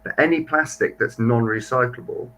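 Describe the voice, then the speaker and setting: A man's voice: medium pitch, normal speed, sharp tone, a little hiss or hum. A young man co-hosting a marine biology livestream in casual, chatty talk. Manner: chatty, reads viewer comments aloud.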